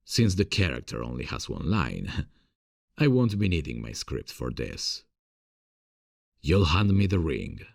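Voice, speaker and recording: Male actor as passionate theatre director, gentle, husky and gravelly, hesitant, intimate ASMR roleplay. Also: rumbling low, big pitch swings, unhurried, long pauses, dry recording, clean studio sound, heavily acted. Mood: instructive, encouraging. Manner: tender, hesitant, confiding.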